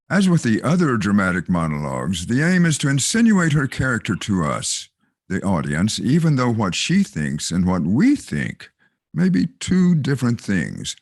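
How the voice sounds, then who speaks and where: A man's voice: on the low side, dry recording, studio quality. A man reading scripted narration to introduce a stage monologue in a theatrical way. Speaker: narrator, voice actor.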